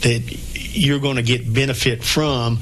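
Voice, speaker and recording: A man's voice: medium pitch, fairly even intonation, unhurried, a bit bright, a little hiss or hum, poor audio. A man hosting a local radio talk show. He speaks in a folksy, rambling way with homespun grammar. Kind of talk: casual chat interview.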